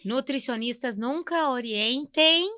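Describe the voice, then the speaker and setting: A woman's voice: high voice, measured pace, bright, forceful tone, no room sound, studio quality. A Brazilian woman, a nutritionist guest on a podcast, gives emphatic advice in casual conversation. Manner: emphatic advice-giving, agreeing readily.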